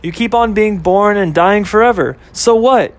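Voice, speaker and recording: A man's voice: sits high, moderate pace, no echo, pitched above the performer's own voice, natural voice. A man voicing a young woman, a flippant girlfriend who scoffs and fires off rhetorical questions. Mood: scoffing. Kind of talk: amateur audio story acting.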